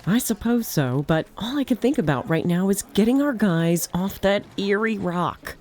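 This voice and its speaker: A woman's voice: mid-range voice, animated, normal speed, a little mellow, natural voice. A woman playing an uneasy, thoughtful mission control officer in an audio drama, with a hesitant manner. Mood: resigned. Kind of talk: naturalistic audio drama acting.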